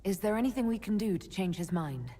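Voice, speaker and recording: Composed, slightly husky woman leader. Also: medium pitch, lively intonation, fast talker, warm tone. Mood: concerned. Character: composed, supportive female mage leader. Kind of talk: dramatic game voice acting. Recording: long reverb.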